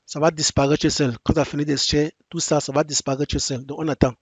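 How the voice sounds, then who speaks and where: A man whose first language is English, walking through a software installation step by step in halting French with frequent restarts and repeated instructions. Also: fairly high pitch, little pitch movement, husky, no echo. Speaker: software tutorial presenter. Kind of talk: screen-recorded software tutorial.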